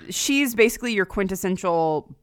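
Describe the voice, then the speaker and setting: A woman's voice: medium pitch, expressive, moderate pace, smooth, dry recording, studio quality. A woman in a casual, thoughtful discussion, often saying "like" as she works through her points. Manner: frequent filler "like", thoughtful.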